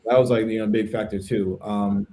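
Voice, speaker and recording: A man's voice: fairly low pitch, steady tone, a little fast, warm tone. Chatty American man in his thirties. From United States. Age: 30s. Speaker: podcast participant, English teacher. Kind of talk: casual conversational interview.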